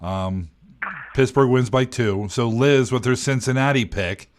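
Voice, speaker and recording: A man's voice: medium pitch, measured pace. A man in his forties co-hosting a podcast, matter-of-fact and ribbing in a casual sports chat. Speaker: podcast co-host.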